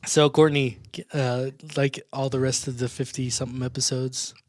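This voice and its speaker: A man hosts a small church podcast in a loose, friendly chat. He laughs often and trips over his words as he goes. His voice sits in a middle pitch and sounds close and dry. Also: level tone, moderate pace, no room sound. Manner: chatty, laughs often, stumbles and restarts. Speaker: podcast host.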